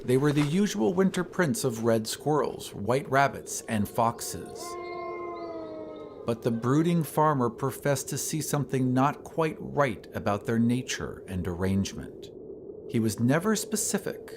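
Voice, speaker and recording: Man narrating horror over clear background ambience. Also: medium pitch, noisy background. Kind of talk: scripted narration of a classic horror story. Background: ambient sound effects.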